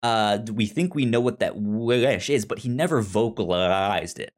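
A man's voice: lowish voice, a little slow. A man chatting casually as a podcast host, with a stutter, often acting out imagined dialogue. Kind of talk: casual TV discussion chat.

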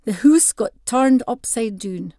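A woman's voice: fairly high pitch, takes it slowly, no room sound, clean studio sound. A woman with a North-East Scottish accent expressively reading a first-person short story in dialect. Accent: North-East Scottish (Doric). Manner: expressive, acts out dialogue in dialect.

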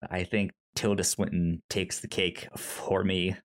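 A man co-hosting a casual review podcast, speaking emphatically with frequent stammered, halting starts. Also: low voice, flat delivery. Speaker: podcast co-host.

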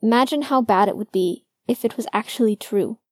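A woman's voice: a little high, slow pace, a little mellow, pitched above the performer's own voice. A woman voicing a young male hockey player who is hurt and guarded, pushing back in a choked, tearful voice. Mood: bitter.

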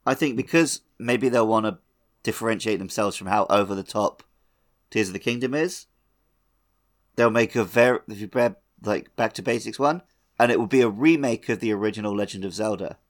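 A man with a somewhat low voice, talking casually as a podcast co-host. His delivery is informal and conversational. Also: fairly quick. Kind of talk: casual chat.

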